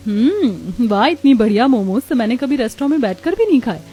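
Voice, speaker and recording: A woman's voice: fairly high pitch, very animated, fairly quick, mellow, slightly rough. A woman voicing a fussy mother buying snacks at a station in a cartoon story. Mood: delighted. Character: fussy, then delighted mother customer at a station.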